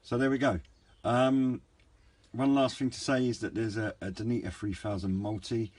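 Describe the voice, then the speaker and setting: A man giving a casual, unscripted hobbyist product review in a hesitant, low-key, rambling way. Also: level tone, normal speed, darkish tone, dry recording. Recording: clear background noise, room ambience.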